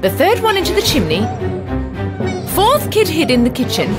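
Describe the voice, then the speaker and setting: A woman narrating a children's fairy tale in an expressive, dramatic storytelling manner. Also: high voice, moderate pace, loud music behind the voice.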